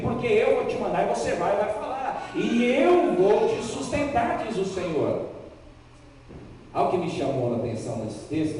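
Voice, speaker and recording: A man's voice: sits high, dark tone. Brazilian male preacher in a very echoey hall. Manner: emphatic, repetitive phrasing with dramatic pauses. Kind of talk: sermon with Bible reading. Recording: echoey hall, distant mic, faint noise.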